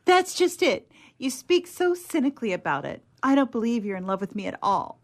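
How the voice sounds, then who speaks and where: A woman's voice: on the high side, big pitch swings, fairly quick. A woman acting a petulant, naive young romantic in a comedy radio play, pouting, plaintive and melodramatic.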